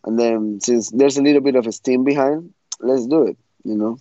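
Blunt man, mellow dull sound. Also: medium pitch, normal speed, no room sound. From Ecuador. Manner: frank, blunt, storytelling with repeated restarts. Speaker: guest fighter. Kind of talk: interview answers.

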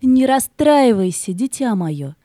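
Gentle, mellow spirit of light. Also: female voice, fairly high pitch, big pitch swings, slow pace, dry recording, studio quality. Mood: tender, soothing. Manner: gentle, reassuring. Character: kindly, consoling motherly spirit of light. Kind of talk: children's audio play acting.

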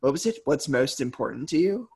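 A man's voice: medium pitch, expressive, a little fast, darkish tone, husky. A man, a graduate student, talking in a laid-back way full of fillers over a video call. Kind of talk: casual group chat.

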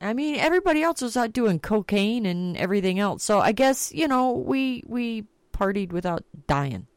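A woman's voice: on the high side, big pitch swings, normal speed, clean tone, no echo. A middle-aged woman hosting a casual chat show, telling stories and swearing freely. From Texas. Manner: salty, storytelling, swears freely. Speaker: podcast host.

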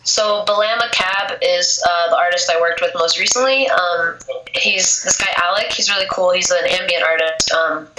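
A woman's voice: on the low side, normal speed. Young woman with a cold, echoey room. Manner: casual, lots of filler "like". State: has a cold, losing her voice. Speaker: poet, interview guest. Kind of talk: casual interview chat. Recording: echoey room, faint noise.

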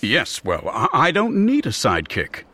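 An actor playing an exasperated, principled supervillain in an audiobook drama, flustered and theatrical in manner. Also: male voice, medium pitch, huge pitch swings, normal speed, pushed and bright, slightly rough, heavily acted. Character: exasperated, principled male supervillain with a false arm. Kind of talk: full-cast audiobook drama.

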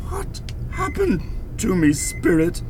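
A querulous retired Irish dad in a radio play. His pitch leaps about wildly as he hems, haws and frets. He is an older Dublin man, gullible and expressive. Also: slow, a little hiss or hum, full character voice. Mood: bewildered, alarmed. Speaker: radio drama actor.

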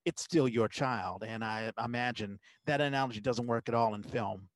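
A man with a medium-pitched voice hosts a casual interview. The sound is close and very dry, with his questions phrased conversationally. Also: normal speed, no echo. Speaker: podcast host, interviewer.

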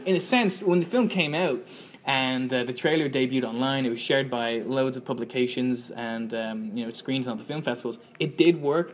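Rambling young man filmmaker. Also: medium pitch. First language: Irish. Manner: rambling, many fillers and restarts. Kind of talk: interview answer.

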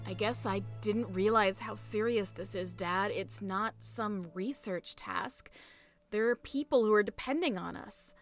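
A woman's voice: medium pitch, a little slow, soft background music, natural voice. A woman playing an anxious young scientist and dutiful daughter in a sci-fi audio drama, hesitant, earnest and emotional. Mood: scared, self-doubting.

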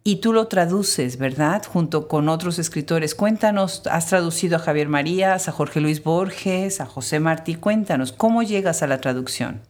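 A woman hosting a cultural interview, asking questions and encouraging her guest with quick affirmations. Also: low-pitched, darkish tone. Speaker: interview host.

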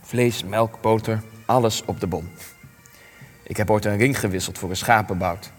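A man acts out a frail old war veteran in a radio play. His voice sits fairly low and he muses haltingly, wistful and bitter, repeating himself. Faint music plays behind him. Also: big-room sound, lightly acted. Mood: confused, hesitant. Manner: hesitant, rambling, musing, with stammered repetitions. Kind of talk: radio drama acting.

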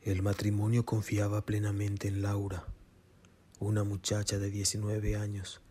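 A man's voice: on the low side, completely flat delivery, a little slow, dark tone, slightly rough. A man reading scripted suspense narration for a radio drama.

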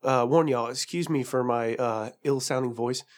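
A man's voice: mid-range voice, normal speed. A man with a cold and a stuffy nose, chatting casually as a podcast co-host. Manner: short enthusiastic interjections. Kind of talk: casual chat.

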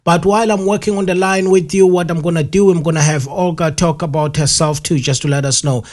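A man's voice: a little high, steady tone, a little fast, darkish tone. A man hosting a live radio interview, rambling with many fillers and repeating himself. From South Africa. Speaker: radio show host.

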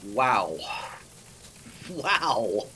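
A man's voice: medium pitch, sing-song, extremely slow, bright, forceful tone, a little hiss or hum, exaggerated voice. A man playing a formal, drily bemused announcer in a 1930s-style radio spoof.

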